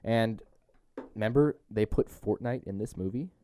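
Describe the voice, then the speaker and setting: A young man hosting a podcast, chatting casually through a movie review with animated recaps and informal asides. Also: on the low side, moderate pace, slight background noise. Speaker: podcast host.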